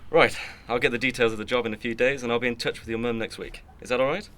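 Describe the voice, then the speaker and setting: A man acting a well-off, boastful, stern middle-aged uncle in a radio drama, with a pompous, measured way of talking. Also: mid-range voice, steady tone, a bit bright, lightly acted.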